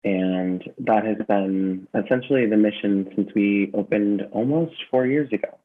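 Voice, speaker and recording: Male interview guest, fairly low pitch, reflective and sincere, feeling for words, tinny call-quality audio. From San Francisco Bay Area, United States. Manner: earnest, thoughtful, halting with repeated words. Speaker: gym founder, interview guest. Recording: muffled phone-like call audio.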